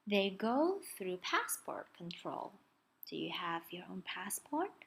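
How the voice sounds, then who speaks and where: Female picture-book reader for small children. Expressive and very varied in pitch, unrushed, engaging the listener with questions. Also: takes it slowly, creaky, slight background noise. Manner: expressive, asks the listener questions, points things out. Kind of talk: children's read-aloud with chatty asides to young listeners.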